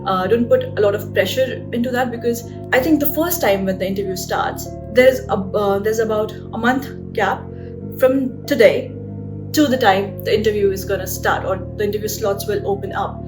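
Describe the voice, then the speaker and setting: A young woman with an Indian accent gives friendly career tips in a relaxed, conversational vlog, often checking in with "right?" Her voice sits in a middle range and sounds a little far from the microphone. Plucked guitar music plays clearly underneath. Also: mid-range voice, moderate pace. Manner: chatty, conversational, with frequent fillers and "right?" tag questions. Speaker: doctor giving career advice. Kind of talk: casual advice vlog. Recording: voice a bit distant from the mic, guitar music behind.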